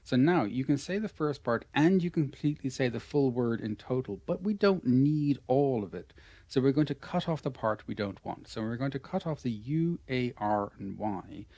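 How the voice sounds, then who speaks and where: An Irish man in an online lesson, coaching a student through pronunciation. His voice sits at a middling pitch, and he stays patient and encouraging, handing out praise again and again. The sound is dry and close, with no room around it. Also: mellow, dry recording. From Ireland. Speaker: English pronunciation teacher.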